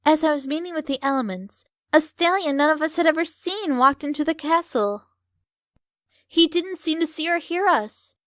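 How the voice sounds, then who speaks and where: A woman's voice: high-pitched, a bit bright, no room sound, clean studio sound. A woman voicing a young female pony who earnestly and articulately reads out a letter to her princess in an audio fan fiction.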